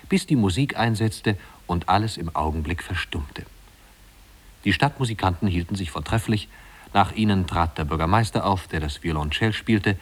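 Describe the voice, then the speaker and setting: An actor playing a measured, gently ironic storybook narrator in a 1950s-style German radio play. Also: male voice, fairly low pitch. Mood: measured, descriptive.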